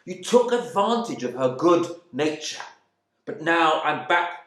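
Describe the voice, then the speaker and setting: A man's voice: a little high, very animated, unhurried, a bit bright. A man playing a stuffy, bigoted husband back from war in a dramatic monologue, speaking with pompous indignation. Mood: outraged. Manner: pompous, clipped indignation, swinging from muttering to outbursts. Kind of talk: dramatic monologue reading from a novel. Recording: echoey room.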